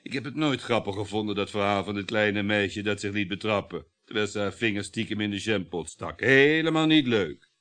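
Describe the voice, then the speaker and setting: A man's voice: lowish voice, a little fast, a bit bright, lightly acted. A man acting a domineering, middle-aged husband in a Dutch radio play, talkative and sarcastic, needling with sardonic chuckles.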